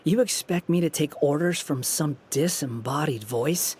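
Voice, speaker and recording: A man's voice: a little high, normal speed, a little mellow, slight background noise, lightly acted. A male actor playing an aloof, irritable young hero in a video game, speaking with dismissive sarcasm. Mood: scornful, curt. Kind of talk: English video game voice acting. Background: game music.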